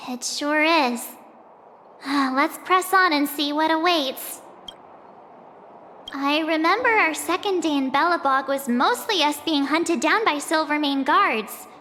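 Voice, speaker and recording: Perky teen game girl, high bright voice, sheepish, casual, long reverb. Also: slow pace, a little hiss or hum, heavily acted. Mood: casual, resolved. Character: perky teenage girl adventurer, sheepish, easygoing. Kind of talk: video game voice acting. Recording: long hall reverb, game music behind. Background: game music.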